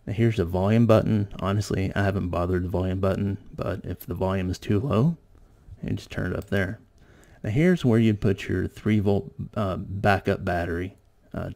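Low, creaky-voiced man narrating a tutorial. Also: mellow. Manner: informal, explanatory. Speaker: host of an electronics tutorial channel.

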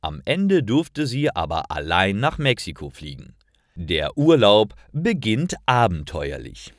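A man reading stories for language learners in a careful, clearly articulated and expressive way. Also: fairly low pitch, takes it slowly, dry recording, clean studio sound. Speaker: narrator of stories for German learners.

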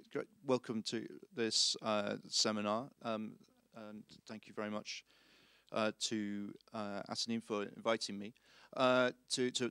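Flat-voiced man moderating a seminar. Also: on the low side, clear, resonant tone, no room sound, clean studio sound. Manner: measured, filler-heavy. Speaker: panel moderator. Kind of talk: introducing a seminar. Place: conference hall, seated on stage. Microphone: handheld mic.

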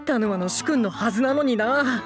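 An actor playing a playful, affectionate and lazy young shogun who wheedles and pouts theatrically in a comic anime drama. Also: male voice, very high-pitched, normal speed, over music. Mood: pouting. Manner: sing-song wheedling, theatrical pouting. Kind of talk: anime voice drama comedy acting.

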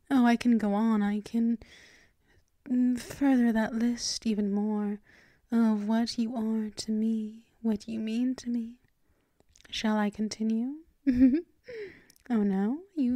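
A woman plays a gentle, dominant girlfriend in an intimate ASMR roleplay. Her voice is fairly high and mellow, moving very slowly between soft murmurs and whispers. She is soothing, affectionate and teasing, close on the microphone. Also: no echo.

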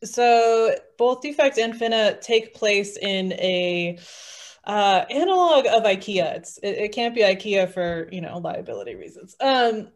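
A woman's voice: medium pitch, expressive, a little slow. A woman chatting casually on a video call during a tabletop game, hesitant and thinking aloud as she goes. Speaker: player and guest author. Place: indoors, on a group video call.

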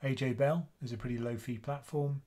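A man in his fifties with a British accent, hosting a live investing Q&A stream from a small home room, chatting casually in a quiet voice. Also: mid-range voice, fairly even intonation, a little fast. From United Kingdom. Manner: casual, chatty, soft-spoken. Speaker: investing channel host.

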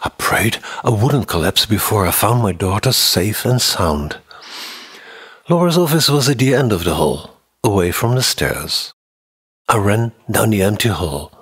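Husky older man narrating a suspense novel. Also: on the low side, very animated, unhurried, a bit bright. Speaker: audiobook narrator. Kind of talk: dramatic audiobook reading of a mystery novel. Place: indoor room, office or home studio. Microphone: close condenser microphone on a boom arm.

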